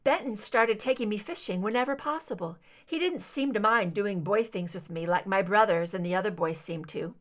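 Lady reading a story out loud, mid-range voice, amateur audiobook narration. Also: mid-range voice, normal speed, few pauses. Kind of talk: scripted fiction narration.

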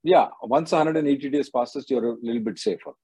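A man's voice: mid-range voice, brisk, mellow, slightly rough, no echo, low-quality recording. A man hosting a live call-in advice show, giving blunt, short, repeated answers to callers. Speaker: immigration advice show host.

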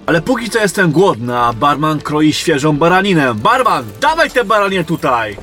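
A man's voice: fairly high pitch, very animated, normal speed, sharp tone, soft background music. A man playing an eager young soldier, a general's junior companion, in a fantasy audio drama. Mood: hungry, demanding.

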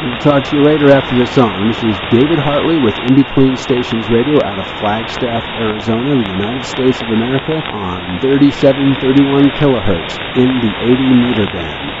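A male radio host talking casually on air in a relaxed, rambling way, with many fillers. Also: medium pitch, brisk, hardly any pauses, dull audio. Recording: noisy shortwave radio reception.

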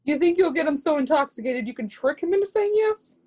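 A woman's voice: high voice, animated, brisk, warm tone. A woman playing a proud, zealous would-be artist's muse in a play reading, speaking with lofty, scornful emphasis. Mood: haughty.

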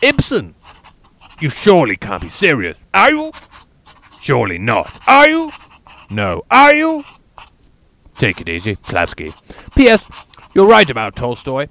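A man putting on a theatre director who reads his letters with a lot of melody. The pitch swoops widely, from grand and gracious to exasperated. His delivery is theatrical and comic. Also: huge pitch swings, unhurried, long pauses, bright, forceful tone, exaggerated voice.

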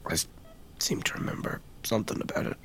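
An actor playing an injured, tormented Irish fire officer in a radio drama, weak and withdrawn, breathy and halting. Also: male voice, a bit bright, close to an everyday voice. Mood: weak, guarded. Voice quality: breathy, whispery, creaky. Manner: halting, terse replies. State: weak and in pain, coughing.

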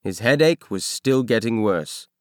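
A man's voice: on the low side, expressive, unhurried, no room sound. Man narrating an audiobook, dry studio sound. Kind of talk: scripted narration of a science fiction novel.

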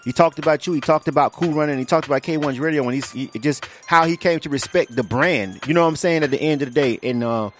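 Fast-talking energetic radio host man. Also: on the high side, faint music behind. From Florida. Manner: energetic, slangy, laughs often. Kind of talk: casual radio interview.

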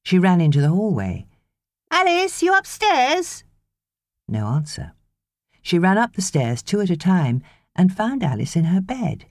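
A woman narrating an audiobook novel in an expressive, measured storytelling manner. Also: fairly low pitch, no room sound, studio quality.

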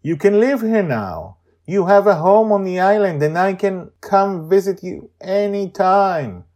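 Man putting on an excitable young girl, pitched high. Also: unhurried. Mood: grateful, eager. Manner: exclamatory, eager, gushing. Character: excited, grateful nine-year-old girl adventurer, shouting with joy. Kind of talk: acted storytelling.

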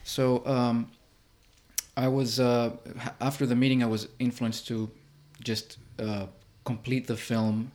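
A man from Greece explaining his work in an interview, hesitant and halting, often stumbling over words. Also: medium pitch, flat delivery, a little mellow. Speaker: interviewed artist and filmmaker.

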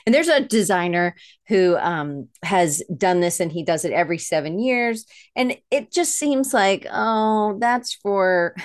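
A woman with a slightly low voice hosts a live online show. She talks in a chatty, effusive, informal way, often doubling back to correct her wording. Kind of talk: enthusiastic, informal guest introduction.

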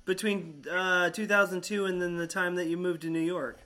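Bright, highish American man chatting casually. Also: flat delivery. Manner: halting, repeats phrases, profane. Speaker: host interviewing his friend. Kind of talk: casual chat.

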